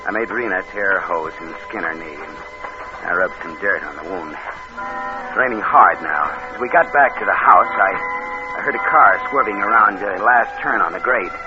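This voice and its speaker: Muffled noisy vintage radio, hardboiled schemer, cold, deadpan, falsely solicitous, swinging intonation. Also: male voice, low voice. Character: cold, scheming killer narrating his crime, posing as nephew. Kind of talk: 1940s radio drama acting.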